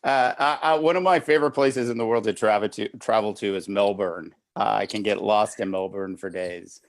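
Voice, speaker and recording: A man moderating a podcast in a halting, filler-heavy way, speaking off the cuff. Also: fairly low pitch, dry recording, studio quality. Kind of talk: unscripted podcast introduction.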